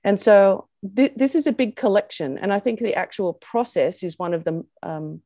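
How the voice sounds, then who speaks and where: A woman's voice: medium pitch, lively intonation, normal speed, mellow, no echo, studio quality. An Australian woman, an education researcher, giving a thoughtful webinar presentation on a video call, with frequent ums.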